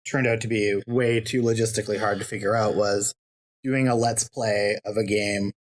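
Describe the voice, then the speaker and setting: A man's voice: lowish voice, steady tone, moderate pace. A man chatting casually as a podcast guest, wry and dry in his humor.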